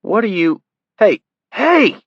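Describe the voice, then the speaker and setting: A man voicing a spoiled young male college student who yells shrilly in panic. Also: very animated, slow, husky, dry recording, highs cut off, heavily acted. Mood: panicked yelling. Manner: shrill, yelling.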